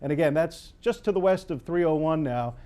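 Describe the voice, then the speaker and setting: A man's voice: fairly high pitch, fairly quick, warm tone. An American man working as a TV weather forecaster, ad-libbing live storm coverage in an explanatory way. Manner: explanatory, unscripted.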